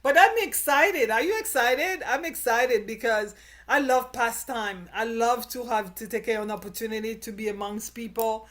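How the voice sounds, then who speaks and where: A woman hosting a casual live-stream chat, talking in an excited, rambling, upbeat way. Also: on the high side, normal speed. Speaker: show host.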